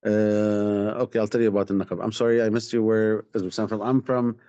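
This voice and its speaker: Halting Palestinian man on a dull stream. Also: fairly low pitch, flat delivery, mellow, clean tone, no echo. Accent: Palestinian Arabic. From Palestinian West Bank. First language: Arabic. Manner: halting, repeats words and phrases. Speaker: activist, director of a community rights organisation. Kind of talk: live online talk answering audience questions. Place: online video call. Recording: dull, lo-fi online stream sound.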